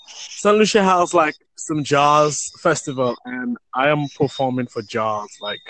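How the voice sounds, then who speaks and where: Rambling higher-voiced man from London. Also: unhurried, dry recording, rough recording. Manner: relaxed, rambling, many likes. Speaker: chat participant. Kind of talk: casual chat. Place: Saint Lucia.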